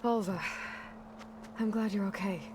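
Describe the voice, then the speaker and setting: Noisy game capture, actress playing young woman adventurer, wary, earnest, hushed and measured, medium pitch. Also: steady tone, natural voice. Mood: guarded, serious. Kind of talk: video game cutscene dialogue. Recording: noisy game audio with ambience.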